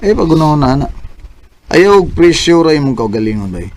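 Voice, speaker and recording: A man's voice: on the high side, a little fast. A man voicing a chatty, teasing male coworker in an amateur radio drama. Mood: advising. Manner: teasing, chatty, rising into excited jokes. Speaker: voice actor.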